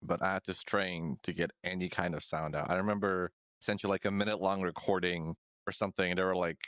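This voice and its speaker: Very deep-voiced man, easygoing host. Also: fairly even intonation, a bit bright, no room sound, studio quality. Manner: easygoing, chuckling, a little halting. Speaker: podcast host. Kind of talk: casual podcast chat. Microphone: studio microphone.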